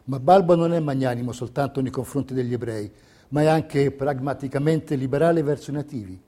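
A man delivering scripted theatrical narration in measured, deliberate phrases. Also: mid-range voice, mellow. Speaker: stage narrator.

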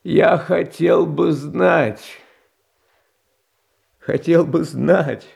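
An actor playing a meek, anxious young tsar who talks in a hesitant, confused, pleading way in a theatrical radio play. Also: male voice, on the high side, very slow, vocal fry, a little mellow, full character voice. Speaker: title character in a radio play.

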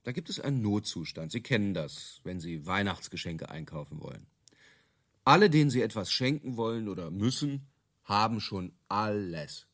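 Wry self-assured inventor guy in a radio play interview, lowish voice, really animated pitch. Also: normal speed. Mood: dry justifying. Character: cynical, self-assured male inventor of useless product features being interviewed. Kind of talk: conversational radio drama acting.

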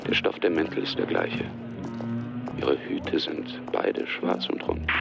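Very deep, gravelly male narrator. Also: big pitch swings, slow pace, dull audio, over music. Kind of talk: scripted narration of a radio drama.

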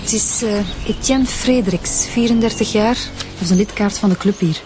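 An actress playing an efficient, matter-of-fact police inspector in a radio drama, with a Flemish Belgian accent. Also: female voice, mid-range voice, moderate pace, music in the background, natural voice. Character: efficient, level-headed female police inspector. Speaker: actor in a radio serial. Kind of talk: naturalistic radio drama acting.

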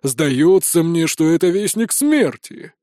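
A man's voice: a little high, sing-song, slow pace, a little mellow, dry recording, studio quality. A man voicing a suspicious, spiteful village elder who accuses and rouses a mob in a fantasy audiobook. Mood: accusing. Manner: accusing, rabble-rousing.

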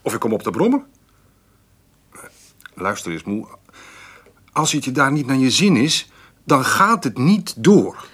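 An actor in a radio play playing a patient, dutiful grown son who speaks soothingly and a little evasively. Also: male voice, medium pitch, very animated, unhurried, long pauses, a bit bright, husky, exaggerated voice. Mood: reassuring.

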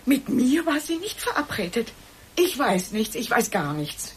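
An actress playing a cornered, guilt-ridden woman in a radio play, halting and pleading as she defends herself. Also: fairly high pitch, sing-song, a little hiss or hum. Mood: desperate, pleading. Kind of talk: German radio play acting.

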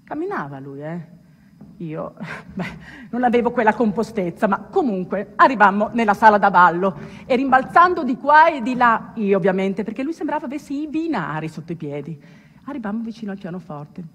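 A woman acting as a ship's trumpet player, telling a wondrous memory as a dramatic, colloquial stage monologue with pauses and asides. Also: mid-range voice, lively intonation, fairly bright tone, husky. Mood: wry, reflective. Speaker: stage actor performing a theatre monologue. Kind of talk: theatrical storytelling monologue. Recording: long hall reverb, music behind.

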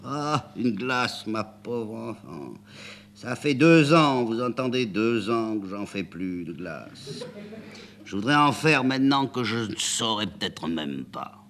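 A man voicing a kindly, gloomy old ice-cream seller in a dramatic reading of a play, calm and slow. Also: fairly low pitch, a little hiss or hum, pitched above the performer's own voice. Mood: mournful.

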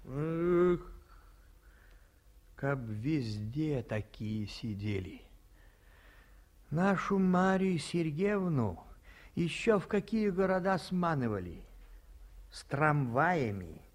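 An actor playing an elderly, talkative provincial hotel keeper in a 1950s radio play, folksy and full of stories, sometimes dropping to a whisper. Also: male voice, on the high side, sing-song, slow, long pauses. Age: older. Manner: folksy, storytelling, drops to whispers.

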